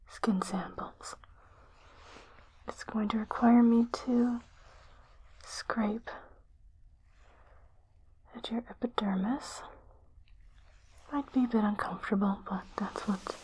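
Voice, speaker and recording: A woman playing a calm, clinical doctor in an ASMR roleplay on an alien planet, whispering slowly in a breathy, measured way. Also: mid-range voice, background noise, natural voice. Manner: slow, measured, softly clinical.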